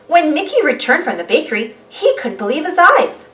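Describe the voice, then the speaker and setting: A woman reading a children's storybook aloud as an animated narrator. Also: high-pitched, brisk, background noise. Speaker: storybook reader. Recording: roomy, slightly distant mic.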